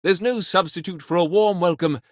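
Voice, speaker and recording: An actor playing a courteous, talkative official of a frontier space colony in a video game, with a folksy, earnest way of speaking. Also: male voice, high-pitched, moderate pace, no room sound, studio quality, natural voice. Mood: civic pride, welcoming. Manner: folksy, polite, earnest phrasing. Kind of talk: video game character dialogue.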